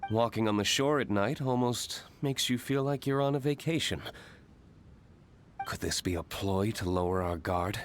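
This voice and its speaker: A man voicing a guarded, skeptical but quietly considerate investigator in a video game, composed and formal. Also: fairly low pitch, moderate pace, a little hiss or hum, close to an everyday voice. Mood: wary, composed. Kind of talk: scripted video game character dialogue. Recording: music behind the voice.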